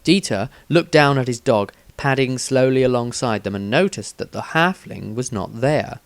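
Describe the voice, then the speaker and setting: A man reading a fantasy story aloud in steady, measured scripted narration. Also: medium pitch, creaky, no echo. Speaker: audiobook reader.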